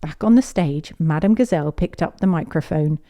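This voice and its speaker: A woman reading a children's picture book aloud as a storyteller, in an expressive, measured way. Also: sits low.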